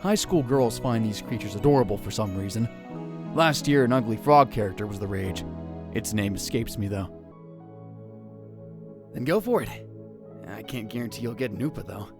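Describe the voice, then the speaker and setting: A man's voice: on the low side, expressive, normal speed, long pauses. A man playing an anxious, dramatic young conspiracy theorist who narrates a visual novel in a theatrical, self-questioning way. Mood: wry, dismissive. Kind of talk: first-person visual novel narration, acted. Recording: music bed under the voice. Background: background music.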